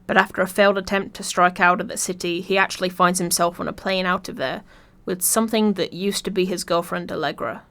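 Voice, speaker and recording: A woman's voice: on the low side, fairly even intonation, fairly quick, fairly bright tone. A woman reading a scripted video essay in an informal way, with wry, dry asides. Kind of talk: scripted video essay narration with casual humour.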